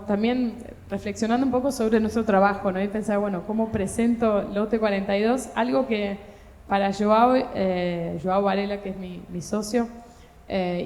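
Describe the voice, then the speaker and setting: A woman's voice: on the high side, mellow. A woman publisher on a book fair panel, speaking Spanish tinged by Portuguese and explaining her work in a thoughtful, hesitant way with many filler sounds. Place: talk hall at a book fair. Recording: echoey hall with long reverb.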